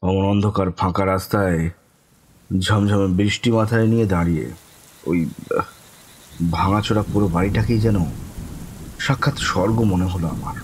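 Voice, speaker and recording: Man acting easygoing guy telling his ghost story, lowish voice, patient rueful pacing, light rain behind, horror audio drama. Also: fairly even intonation, normal speed, pauses often, slight background noise, lightly acted. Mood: suspenseful. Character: mild, easygoing man recounting his own ghostly night. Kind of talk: first-person horror audio drama narration.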